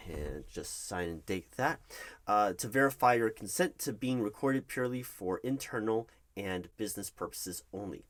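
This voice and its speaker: A man's voice: lowish voice, expressive, moderate pace, a bit bright. A man playing a nervous, polite corporate hiring manager in an ASMR roleplay, speaking formally with some hesitation. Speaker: roleplay performer. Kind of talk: one-sided ASMR roleplay of a job interview.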